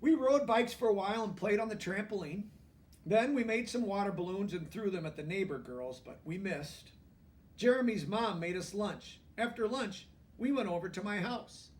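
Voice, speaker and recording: A man reading a children's book aloud in an expressive way, pausing for emphasis. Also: high-pitched, distant mic. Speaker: storybook reader. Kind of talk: children's book read-aloud with brief asides.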